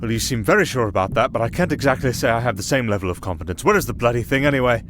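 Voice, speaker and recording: A man's voice: mid-range voice, expressive, rapid-fire, noisy background, natural voice. A man playing a wry, nervy castaway entertainer in an ASMR roleplay, sarcastic and exasperated as he talks to an unheard partner. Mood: uneasy, dry. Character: young male wandering entertainer, sardonic, reluctant, nervy castaway. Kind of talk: ASMR roleplay acting, one-sided dialogue.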